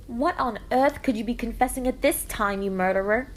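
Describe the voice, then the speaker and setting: A woman's voice: a little high, moderate pace, a little hiss or hum, natural voice. A woman playing a firm, probing amateur sleuth at a lodge in a puppet show. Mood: accusing. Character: firm, reflective female lodge worker turned sleuth.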